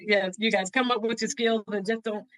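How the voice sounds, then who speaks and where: A woman's voice: medium pitch, steady tone, fairly quick, fairly bright tone, dry recording. A middle-aged woman co-hosting a casual advice podcast, talking encouragingly and directly to her listeners. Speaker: co-host.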